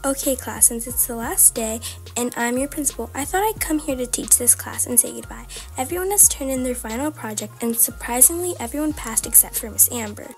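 A woman's voice: a little high, fairly quick, darkish tone, faint music behind, close to an everyday voice. A woman voicing a formal school principal addressing her class. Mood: stern.

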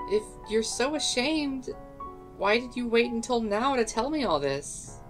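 A woman's voice: fairly high pitch, lively intonation, slow, music in the background. A woman playing a caring but hurt, blunt young woman in a fan dub drama, speaking directly and earnestly. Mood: hurt, exasperated.

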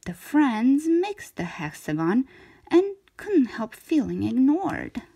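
A woman reading a children's story aloud in an expressive narrator's style, with deliberate, dramatic phrasing. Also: high voice, gravelly, breathy edge.

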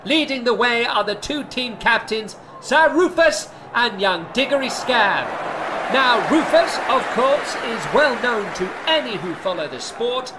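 Woman doing spoof medieval sports commentary, excitable and scornful, really swoopy, noisy behind. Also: fairly high pitch, a little slow.

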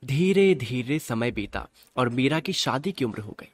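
A man's voice: mid-range voice, expressive, measured pace, slightly rough. A man narrating a scripted radio drama as its storyteller. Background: music.

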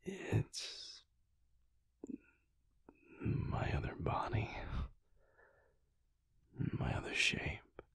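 A young man playing a big, bashful, affectionate werewolf boyfriend in an intimate audio roleplay, speaking softly and haltingly in a husky near-whisper. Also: no room sound. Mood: tender, earnest. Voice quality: breathy, husky, gravelly. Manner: halting, soft, hesitant with small chuckles.

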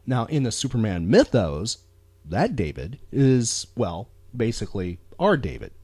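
A man hosting a casual talk show, speaking earnestly and haltingly, often repeating words as he goes. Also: lowish voice, sing-song, darkish tone, slightly rough, no room sound. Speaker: podcast host.

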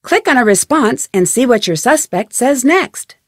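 A woman playing a chipper, curious young detective, giving friendly, clearly articulated tutorial narration for a game. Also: a little high, big pitch swings, normal speed, dry recording, clean studio sound. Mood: friendly, instructive.

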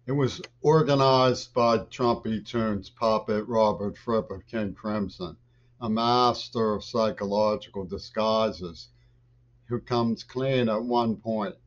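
Older man, webcam monologue from a home office; slow, low, erratic bursts; muffled lo-fi audio. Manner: agitated, lurching between loud bursts and mutters. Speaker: vlogger. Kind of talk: rambling political monologue to camera. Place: small home office with bookshelves, indoors. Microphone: webcam at desk.

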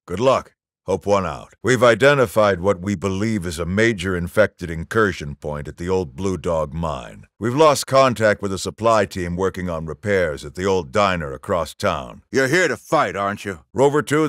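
Commanding American general, middle-aged, stern, clipped military delivery, animated intonation, game mission briefings, dry studio sound. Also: male voice, fairly low pitch, dry recording.

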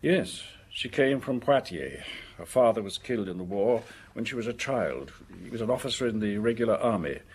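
A lonely, respectable man in a radio drama, low and very husky. His pitch swings widely, and he speaks in formal, halting phrases with an anxious edge. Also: expressive. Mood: earnest reminiscence. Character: lonely, anxious, respectable married office man, secret lover.

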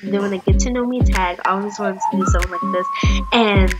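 A woman's voice: mid-range voice, fairly even intonation, fairly quick, over loud music. A nineteen-year-old woman chatting in a casual home vlog, bubbly and chatty and slipping into sung phrases, recorded on a webcam in a small room. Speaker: vlogger.

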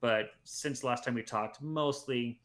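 Bright-voiced man breaking down standings. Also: mid-range voice, slow. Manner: talkative, analytical, restarts mid-phrase. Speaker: podcast guest. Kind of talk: casual sports chat. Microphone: headset.

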